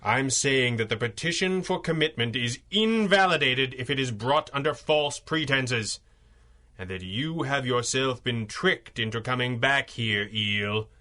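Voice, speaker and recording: An actor playing a confident, shrewd masked superhero, speaking formally and eloquently in a 1930s-style radio serial. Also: male voice, mid-range voice, unhurried, pushed and bright. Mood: pressing, triumphant.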